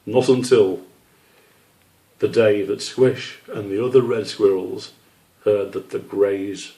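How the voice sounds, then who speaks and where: Mellow man reading a children's story. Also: on the low side, steady tone, takes it slowly, low-quality recording. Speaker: author reading his children's book.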